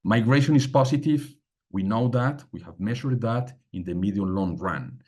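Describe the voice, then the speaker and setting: Low-voiced male panelist, halting delivery. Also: lively intonation, darkish tone, slightly rough. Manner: halting, many fillers, emphatic. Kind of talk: panel talk on migration policy.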